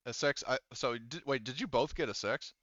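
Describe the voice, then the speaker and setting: A man's voice: mid-range voice, brisk, fairly bright tone, dry recording, muffled recording. A man acting as game master in a tabletop roleplaying session, calling rules and narrating action off the cuff in casual, sweary language. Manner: casual, profane.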